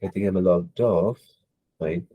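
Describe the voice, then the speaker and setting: A man's voice: deep voice, steady tone, a little slow, slightly rough, phone-quality sound. A man with a cold teaching an online exam-prep class, hesitant, with many fillers and apologies. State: hoarse from a cold. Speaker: IELTS instructor.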